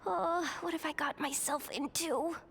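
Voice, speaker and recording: A woman's voice: high-pitched, fairly even intonation, unhurried, fairly bright tone, a little hiss or hum. A woman playing a wry, streetwise young woman in a video game, casual and self-mocking.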